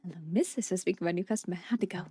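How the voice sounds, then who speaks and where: A woman voicing a gentle, unhurried male tea shop keeper from a video game, soft-spoken and politely formal in quaint phrasing. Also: on the low side, slightly rough, no room sound, pitched below the performer's own voice. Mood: calm, curious. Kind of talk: video game dialogue read aloud.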